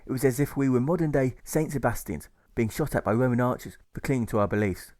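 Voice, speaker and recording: A man's voice: mid-range voice, fast talker, a little mellow, dry recording, close to an everyday voice. Guy voicing chatty bookish schoolmaster in an audiobook, keeps asking for agreement, dry close sound. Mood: recounting. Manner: bookish, ending remarks with tag questions. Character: chatty learned male schoolmaster seeking agreement.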